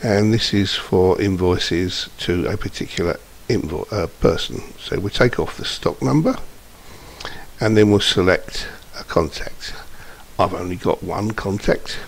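Man, low voice, informal screencast demonstrator, slightly hesitant, faint hiss behind. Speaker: software demonstrator. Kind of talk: informal screencast tutorial narration. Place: indoors, voice-over for screen recording. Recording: steady background hiss.

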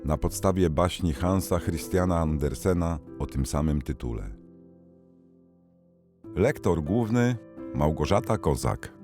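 Deep, slow male credits announcer. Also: animated, darkish tone, faint music behind. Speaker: announcer reading audiobook credits. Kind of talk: scripted announcement.

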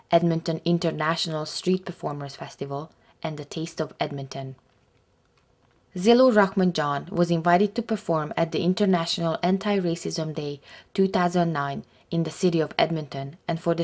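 A woman reading scripted documentary narration in a steady, formal way. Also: low-pitched.

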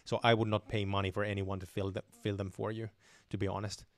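A young man hosting a live stream Q&A, answering viewer questions in a relaxed way with many fillers, close to a studio mic at home. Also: on the low side, fast talker. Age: young adult. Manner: relaxed, many fillers and restarts, reads chat questions aloud. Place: dim home room with shelves. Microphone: studio mic on boom arm close to mouth.

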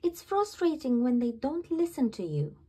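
A woman's voice: sits high, sing-song, normal speed, dark tone, slightly rough, full character voice. A woman voicing an eager, bossy young girl puppy who plays at being mum, in a storybook reading. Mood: unsure.

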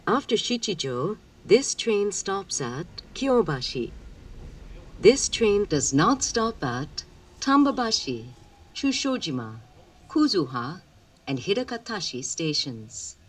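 A woman's voice: on the low side, big pitch swings, drawn-out words. A woman reading a formal, carefully enunciated scripted train announcement, heard through the speakers inside a train car. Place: train car, over public-address speakers. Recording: recorded off an in-car speaker, slightly distant.

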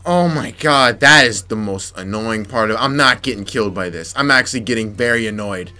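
A young man commentating his gameplay, frustrated and muttering to himself with sudden yelps, slightly raspy from yelling. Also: on the low side, a little fast. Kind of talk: let's play commentary. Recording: faint game music behind.

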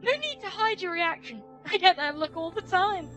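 A man reading game dialogue in a high, sing-song falsetto as an earnest, cheerful female knight who worships the sun, speaking grandly and confidently. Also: animated, moderate pace, bright, forceful tone, faint music behind, full character voice. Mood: cheery, grand. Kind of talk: reading a game's dialogue aloud. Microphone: headset.

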